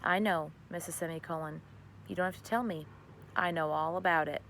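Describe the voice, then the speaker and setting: A woman voicing a gentle, wise, reassuring neighbour lady in a children's storybook. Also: sits low, steady tone, brisk, slight background noise. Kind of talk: children's storybook read aloud.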